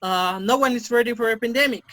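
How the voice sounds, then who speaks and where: A man in his twenties from Mexico, a native Spanish speaker with a Mexican Spanish accent, answering interview questions haltingly with many fillers. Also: very high-pitched, crowd noise. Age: 20s. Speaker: interview guest. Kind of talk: casual video interview.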